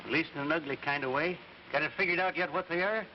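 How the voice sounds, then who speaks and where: A man's voice: fairly high pitch, quick pace, lightly acted. An actor playing a folksy, stubborn old islander in an American 1950s radio drama, drawling out his tales. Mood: easygoing. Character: folksy old island yarn-spinner, stubborn but good-natured. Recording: muffled, noisy old radio recording.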